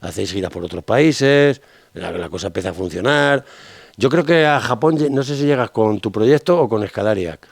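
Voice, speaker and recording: A man's voice: on the low side, lively intonation, moderate pace, vocal fry, no room sound. A man hosting an interview, asking casual questions and chiming in with short friendly affirmations. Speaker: interview host.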